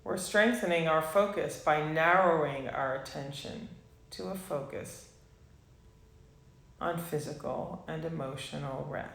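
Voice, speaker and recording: A woman teaching a guided meditation class, speaking softly and unhurriedly with long pauses between phrases. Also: low-pitched, reverberant.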